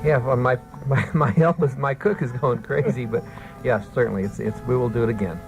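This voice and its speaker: A man's voice: mid-range voice, fairly even intonation, a little fast, gravelly, low-quality recording. A man giving an enthusiastic, conversational testimonial as a restaurant owner in a radio commercial. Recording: music bed under old radio commercial.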